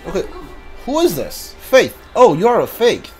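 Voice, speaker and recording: A man's voice: sits high, huge pitch swings, moderate pace. A man giving casual video game commentary, musing aloud in short reactions as he plays. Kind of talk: casual let's play commentary. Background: game music.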